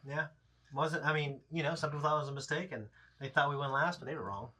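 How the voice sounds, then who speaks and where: A man's voice: a little high, fast talker, sharp tone. A man in his thirties chatting casually as a reaction show host, in a rambling, blunt, profanity-laced way. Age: 30s. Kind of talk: casual chat, episode discussion.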